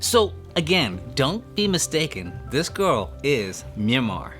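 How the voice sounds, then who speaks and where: A man's voice: mid-range voice, takes it slowly, faint music behind. A young man who grew up in the United States, hosting a podcast interview and talking straight to his listeners with energy. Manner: energetic, addresses listeners directly. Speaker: podcast host.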